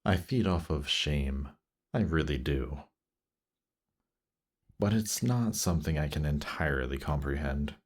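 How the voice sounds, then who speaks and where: A man playing a seductive demon prince in an intimate roleplay audio drama, teasing and coaxing in an unhurried way. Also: a bass voice, animated, slightly rough, exaggerated voice. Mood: playful, amused.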